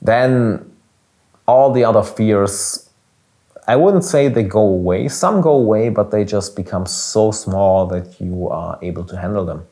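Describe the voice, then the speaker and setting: Earnest German-accented man, lowish voice. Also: unhurried, dark tone. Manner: earnest, emphatic, swearing now and then. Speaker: podcast host and business coach. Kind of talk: casual motivational monologue.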